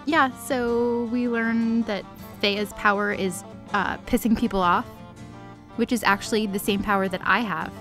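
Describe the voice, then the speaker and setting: A woman's voice: medium pitch, fairly even intonation, measured pace, a bit bright, soft background music. Joking, slangy woman chatting casually. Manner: joking, slangy, uses like constantly. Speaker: co-host reacting to a story recap. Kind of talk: casual comedic chat.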